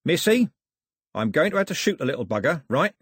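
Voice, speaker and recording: A man's voice: fairly high pitch, sing-song, fairly quick, a bit bright, slightly rough, no room sound, clean studio sound, pitched above the performer's own voice, heavily acted. A man voicing a brash, mocking villainous raider with a snarling, coarse way of talking in an audiobook story. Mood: sneering, menacing. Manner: snarling, complaining, coarse colloquial talk.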